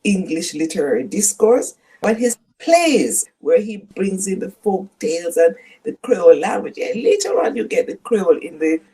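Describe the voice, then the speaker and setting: Older Jamaican woman, dark husky voice. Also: medium pitch, very animated, normal speed, few pauses, poor audio. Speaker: guest professor. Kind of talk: reflective interview conversation.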